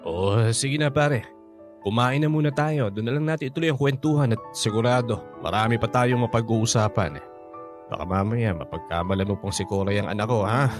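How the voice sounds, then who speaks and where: A man's voice: medium pitch, brisk, over music, natural voice. A Filipino man playing a hearty, teasing widowed father chatting with an old friend in a radio drama. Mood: jovial.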